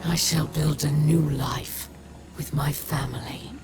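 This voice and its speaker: A woman's voice: deep voice, fairly even intonation. A woman voicing a proud, weary, bitter alien exile leader in a video game, speaking solemnly and formally. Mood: cold, resolute. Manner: solemn, deliberate, formal speech. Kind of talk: video game character monologue. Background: music.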